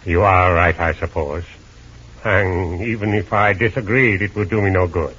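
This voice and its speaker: Deep, husky, courtly old sage. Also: male voice, fairly even intonation, moderate pace, a bit bright. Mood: courtly, resigned. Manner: ornate, humble, formal. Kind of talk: 1940s radio serial acting. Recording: muffled old radio recording.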